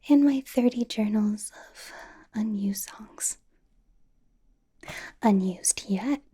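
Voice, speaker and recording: Breathy, whispering big-sister K-pop idol played by a woman. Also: medium pitch, takes it slowly, warm tone. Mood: reassuring. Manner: encouraging, rambling, playfully reassuring. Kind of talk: ASMR roleplay with personal attention.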